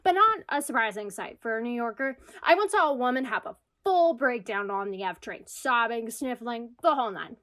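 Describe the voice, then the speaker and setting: Anxious New York woman, bright forceful voice, wry and confessional, radio comedy monologue. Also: fairly high pitch, expressive, moderate pace, hardly any pauses. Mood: agitated. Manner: self-deprecating, wry, confessional. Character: anxious single New York woman spiraling into existential crisis. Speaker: lead actor in radio comedy. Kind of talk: comedic audio drama monologue.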